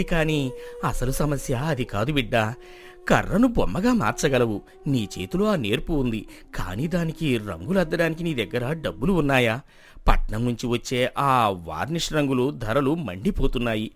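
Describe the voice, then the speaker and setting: A man voicing a kindly, worried old village grandfather, measured and cautioning, in a folk tale. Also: mid-range voice, very animated, natural voice. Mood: concerned. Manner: measured, cautioning, world-weary. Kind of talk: acted folk-tale dialogue.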